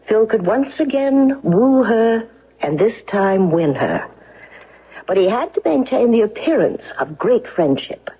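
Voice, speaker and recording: A woman's voice: mid-range voice, slightly rough. A woman playing a commanding, relentless defense lawyer in a 1970s radio courtroom drama, speaking in an emphatic, accusatory way. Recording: dull, lo-fi old radio recording.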